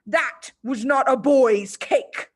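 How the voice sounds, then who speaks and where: A woman reading a storybook, voicing a furious, pompous headmistress who barks and booms out drawn-out insults. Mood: furious accusation. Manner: barking, booming, drawn-out insults. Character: monstrous bellowing tyrant headmistress, furious and pompous.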